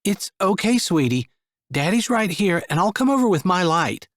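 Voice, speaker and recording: A man doing a young mother, raised pitch, soft and sweet, soothing, lilting, dry close sound. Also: sing-song, a little slow, dry recording. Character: gentle young mother soothing frightened kids. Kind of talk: audiobook character voice.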